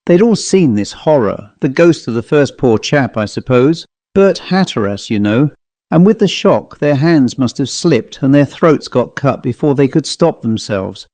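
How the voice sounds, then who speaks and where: A man's voice: medium pitch, animated, a little slow, mellow, no room sound, natural voice. A man voicing a plain-spoken travelling salesman telling a grim storybook tale in a dry, colloquial, conversational manner. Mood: matter-of-fact. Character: haunted male commercial traveller, plain-spoken teller of grim tales. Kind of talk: dramatised storybook monologue.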